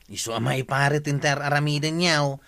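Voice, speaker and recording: A man's voice: a little high, steady tone, moderate pace, gravelly, a bit bright, no echo. A man playing a firm village official in an Ilocano radio comedy drama, with an Ilocano Filipino accent.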